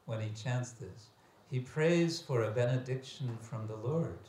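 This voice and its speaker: A man giving a scriptural lecture as a religious teacher, reading aloud and commenting with deliberate, emphatic phrasing and pauses. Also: mid-range voice. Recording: echoey room with background hiss and ambience.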